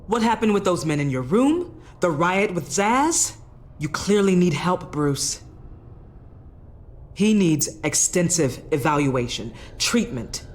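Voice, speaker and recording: A man's voice: sits high, very animated, slow, a little hiss or hum, full character voice. A man playing a stern, suspicious, authoritative asylum doctor in a video game drama. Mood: stern, insistent.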